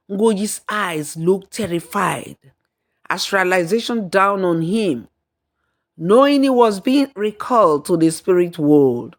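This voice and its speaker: Slow-paced woman telling a folktale. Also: deep voice. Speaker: storyteller. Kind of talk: scripted folktale narration with acted dialogue and song.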